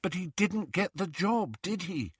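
A man's voice: sits high, very animated, measured pace, slightly rough, no room sound, clean studio sound, pitched above the performer's own voice, heavily acted. A man voicing a brainy, cautious teenage witch girl who speaks in a precise, reasoning manner, in an audiobook. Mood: reasoning, doubtful. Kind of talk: audiobook character dialogue.

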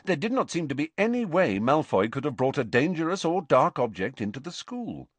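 A man's voice: a little high, big pitch swings, a little fast, breathy edge, no room sound, pitched above the performer's own voice. A man reading the part of a stubborn, moody teenage schoolboy in a storybook, earnest and easily irritated. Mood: insistent.

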